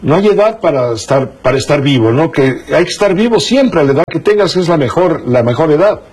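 A man's voice: fairly high pitch, expressive, a little fast, a little mellow, slightly rough. A man hosting a broadcast interview in a conversational style, often repeating words as he feels for the next one. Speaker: host interviewing a guest.

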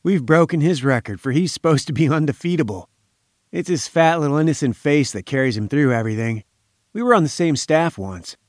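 A man playing a brisk, friendly young journalist with a breezy manner. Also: fairly high pitch, animated, dry recording, studio quality, close to an everyday voice.

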